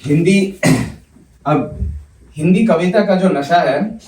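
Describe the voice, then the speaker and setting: A young man on a comedy club stage, reciting poems in a measured way and bantering quickly between them. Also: a little high, a little mellow, slight background noise. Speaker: poet and comedian. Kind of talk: poetry recital with stand-up banter. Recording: roomy club sound from a distance.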